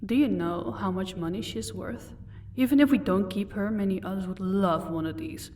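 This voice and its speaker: A woman's voice: medium pitch, moderate pace, a little mellow, a little hiss or hum, natural voice. Woman acting a commanding, sardonic vampire, slightly distant. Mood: smug. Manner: commanding, dryly sarcastic. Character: proud female vampire captor, sardonic, commanding, fond of her wife. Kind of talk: audio roleplay acting.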